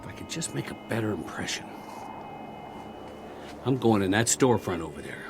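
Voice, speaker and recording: An actor playing a war-weary, talkative soldier in a radio drama, rambling and wry, lonely and eager to please, stammering when eager. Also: male voice, on the low side, big pitch swings, normal speed, background noise. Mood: sheepish, friendly.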